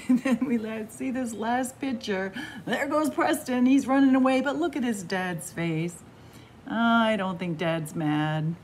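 A middle-aged woman reading a picture book aloud for children, dramatic, with hushed whispers and drawn-out words. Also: on the high side, a little hiss or hum. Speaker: library story time host. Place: small library room with bookshelves, indoors.

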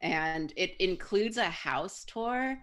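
A woman's voice: lowish voice, animated, slow pace, fairly bright tone. A woman co-hosting a live stream, telling funny anecdotes in casual chat and laughing often. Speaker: stream co-host.

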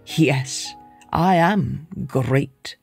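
A man voicing an ancient, towering stone statue that speaks slowly, solemnly and proudly. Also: mid-range voice, vocal fry, breathy edge, soft background music, pitched below the performer's own voice, full character voice.

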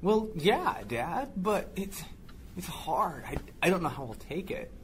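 A man's voice: high voice, very animated, a little slow, slight background noise. An actor playing a hesitant, worried schoolboy confiding in his dad in an audio drama.

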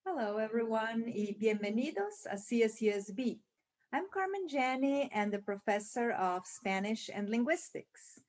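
A woman's voice: fairly high pitch, expressive, normal speed, a little mellow, no echo. A woman professor from Switzerland introducing herself in a friendly, welcoming way over a video call. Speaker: Spanish and linguistics professor. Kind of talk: faculty self-introduction. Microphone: video call webcam.

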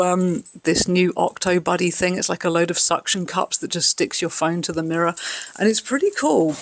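Giggly, hungover woman with creaky voice. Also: fairly low pitch. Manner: excitable, giggly, rambling. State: sore throat, hungover. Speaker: vlogger. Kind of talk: casual vlog chat. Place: hotel room.

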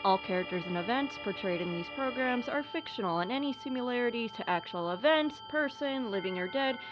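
A woman's voice: a little high, lively intonation, brisk. A woman announcer reading a scripted outro for a horror anthology in the manner of old radio. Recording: dull, band-limited sound. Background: music.

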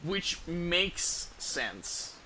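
A young adult man giving casual live gameplay commentary, halting and rambling, with frequent swearing. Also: high-pitched, very animated, background noise. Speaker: video game streamer. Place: indoor room at home.

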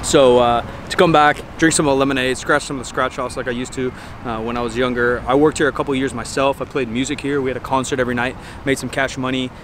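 A man's voice: mid-range voice, fairly even intonation, fast talker, fairly bright tone, a little hiss or hum. A man in his thirties from Northern California reviews fair food in a casual, jokey vlog, swearing now and then, filmed outdoors on a camera mic held close. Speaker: vlogger. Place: outdoor state fair, crowd and rides around.

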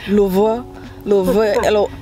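A woman's voice: medium pitch, flat delivery, extremely slow, mellow. Chatty young woman vlogging. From United States. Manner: chatty and playful, addresses viewers as y'all. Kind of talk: casual travel vlog chat. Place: streets, luxury store and car.

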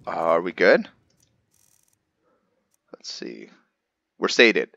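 A man's voice: sits low, big pitch swings, very slow, gravelly, sharp tone, no echo, lo-fi sound. A man giving casual let's-play commentary as a gaming host, musing aloud as he thinks through his decisions. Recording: game music behind the voice. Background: video game music.

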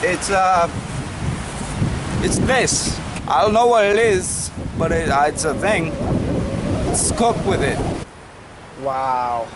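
A young man speaks slowly and haltingly, like a deadpan spoof of a nature host. His voice sits a little high for a man. He is recorded outdoors in a backyard, with clear noise behind him. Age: young adult. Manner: halting, deadpan mock-reverent delivery. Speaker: host of a comedy cooking show. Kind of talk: mock nature-documentary vlog to camera. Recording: noisy outdoor camera audio, background hiss.

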